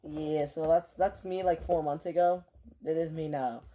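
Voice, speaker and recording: A man's voice: on the high side, fairly even intonation, unhurried, crowd noise. A man chatting casually to his stream while he plays, rambling with lots of fillers and offhand swearing, keyboard clicks behind him. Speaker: game streamer.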